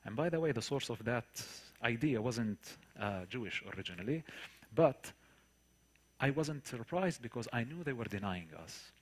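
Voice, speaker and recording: A man from the Palestinian territories gives a personal talk in accented English, with Arabic as his first language. His pitch sits in the middle for a man. He speaks earnestly, stressing key points through repetition and rhetorical questions. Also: animated, normal speed, husky. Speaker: guest speaker, tour guide. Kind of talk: personal storytelling in a public talk.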